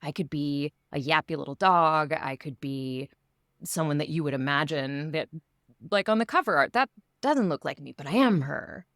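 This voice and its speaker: A woman's voice: low voice, animated, normal speed, a bit bright, dry recording, studio quality. A woman voice actor talking casually in an interview, telling stories with quoted asides.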